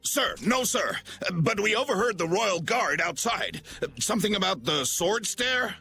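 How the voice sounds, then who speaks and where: An actor playing a bumbling, loyal young knight who talks in a stiff, formal, stammering way. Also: male voice, sits high, lively intonation, measured pace, gravelly, sharp tone, exaggerated voice. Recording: game music underneath.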